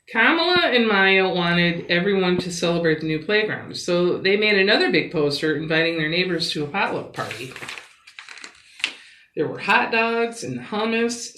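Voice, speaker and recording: A woman reading a picture book aloud expressively, with dramatic pauses and emphasis. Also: medium pitch, fairly bright tone, a little hiss or hum. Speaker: children's story reader. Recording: roomy, echoing sound.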